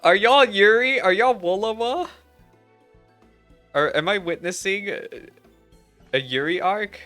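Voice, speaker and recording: A man's voice: sits high, measured pace, bright, forceful tone, no room sound. A sleepy man chatting casually and self-deprecatingly as he comments on a game he is streaming. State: sleepy, just woke up. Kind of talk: casual livestream commentary over gameplay. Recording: music behind the voice. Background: game music.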